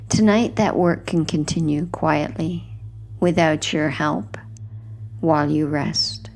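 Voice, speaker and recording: A woman guiding a sleep hypnosis in a gentle, hushed, soothing scripted narration, unhurried, with phrases that trail off softly. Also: low-pitched, a little hiss or hum.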